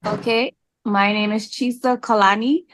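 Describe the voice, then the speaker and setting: A woman's voice: medium pitch, dry recording. Woman in her forties, candid. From Hawaii. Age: 40s. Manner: relaxed, candid, with frequent "um" pauses. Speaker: interview guest. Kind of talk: casual interview answers.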